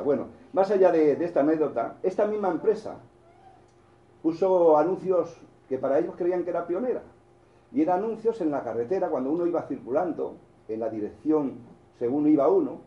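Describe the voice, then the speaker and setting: Very dark-voiced Spanish-accented preacher. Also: male voice, fairly high pitch, echoey room, muffled recording. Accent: Spain Spanish. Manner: storytelling with frequent restarts and asides to the congregation. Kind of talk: sermon.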